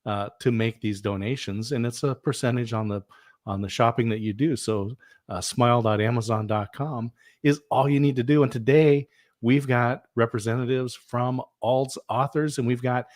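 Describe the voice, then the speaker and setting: Mellow, easygoing livestream host man. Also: mid-range voice, moderate pace, no echo, clean studio sound. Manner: relaxed, chatty, many "uh" fillers. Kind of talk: casual live-stream chat.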